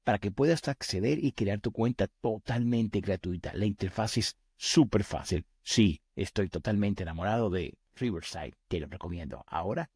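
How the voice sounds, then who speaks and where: A man's voice: fairly low pitch, normal speed, few pauses, a little mellow, breathy edge, no room sound, studio quality. A man reading a sponsor message on a podcast in an upbeat, promotional way. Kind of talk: sponsor read.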